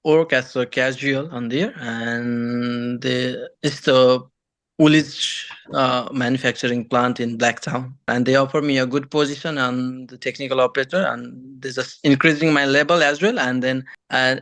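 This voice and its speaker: A young man from Nepal with a Nepali accent, answering interview questions in halting, non-native phrasing. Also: mid-range voice, steady tone, fairly bright tone, no echo. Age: young adult. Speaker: guest, engineering graduate and former student.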